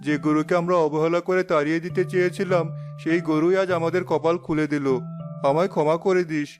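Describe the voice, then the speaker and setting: An actor playing an earnest, kindly, excitable father of a poor village family, in a cartoon story. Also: a little high, fairly quick, lightly acted. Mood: earnest, humbled. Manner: exclamatory, earnest. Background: faint music.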